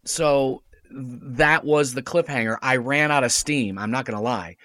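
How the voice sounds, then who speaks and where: A man chatting casually as a podcast guest, explaining things in a conversational, halting way. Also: medium pitch, sharp tone, no room sound. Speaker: podcast guest, radio play writer. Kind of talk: casual interview chat.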